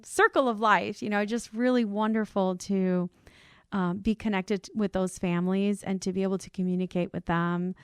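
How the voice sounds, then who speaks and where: A woman in mid pitch tells her story with feeling, earnest and open, with a light Cuban accent. The sound is dry and close to the mic, with no room around her voice. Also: moderate pace, clean tone, no room sound. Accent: Cuban Spanish. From Cuban family, grew up on the US East Coast. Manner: earnest, emotional, lively when amused. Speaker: podcast guest, insurance brokerage CEO. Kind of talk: conversational interview storytelling.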